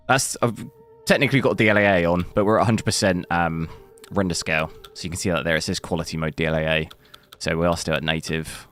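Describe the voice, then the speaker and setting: Hoarse low-voiced male game streamer. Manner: thinks aloud casually, bursts into loud dismayed cries. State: hoarse, losing his voice. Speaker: gaming streamer. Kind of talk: live gameplay commentary. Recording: noticeable background noise.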